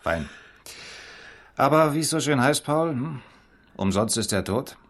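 A man's voice: mid-range voice, animated, measured pace, a bit bright. A man playing a sly, chummy police detective in a radio crime drama, needling in a casual way. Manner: needling, casual, tags questions with hm. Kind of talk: 1970s German radio drama acting.

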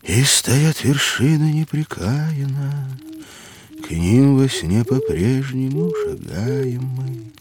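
An actor playing a hearty, hospitable mountaineer in an audio drama for children, speaking expressively. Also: male voice, medium pitch, expressive, takes it slowly, darkish tone, a little hiss or hum. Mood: wistful, reciting. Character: hearty hospitable mountaineer by a campfire below Everest. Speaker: mountaineer character.

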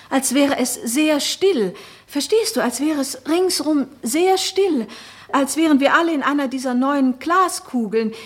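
A married noblewoman who doubts who she is. Her voice is high and her phrasing solemn and poetic. She speaks as in a literary radio drama, earnest and pleading. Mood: troubled reflection. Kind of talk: literary German radio play acting.

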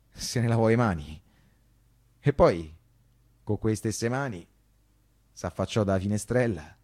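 A man's voice: mid-range voice, a little mellow, natural voice. An actor playing an anguished working-class Roman man, telling of wartime horrors in an insistent, colloquial theatrical monologue with a Roman accent. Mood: bitter. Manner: insistent repetition, rhetorical questions, colloquial. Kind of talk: theatrical radio monologue storytelling.

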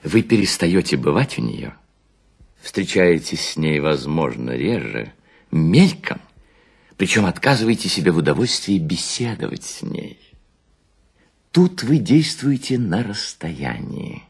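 A man's voice: sits low, very animated, a little slow, gravelly, breathy edge, exaggerated voice. An actor playing a worn-out balding seducer, suavely lecturing on his sly craft in a theatrical literary monologue, savoring words and humming between them. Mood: sly, instructive.

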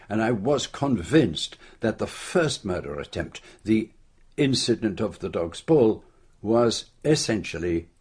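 A man's voice: mid-range voice, darkish tone, slightly rough. An actor playing a shrewd, self-assured male detective with a French accent, speaking precisely and formally in a radio drama. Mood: measured explaining.

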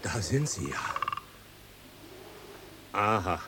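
A man's voice: deep voice, big pitch swings, very slow, heavy background noise, heavily acted. A man acting a dour, grumbling island coachman in a German radio drama.

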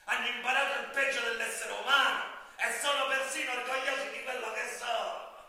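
A bitter, defiant vigilante prisoner addresses a judge in an impassioned stage monologue. The voice is high and very sharp, sarcastic and confrontational. It rings through a very echoey hall. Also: male voice, steady tone, a little fast, full character voice. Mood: furious, mocking. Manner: confrontational, sarcastic, rhetorical questioning. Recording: echoey noisy hall from far off.

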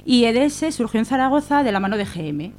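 A woman's voice: a little high, lively intonation, fast talker, a little mellow, slight background noise. A woman with an accent from Spain, a worker being interviewed on the radio, explaining things conversationally. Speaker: interview guest, worker and union member.

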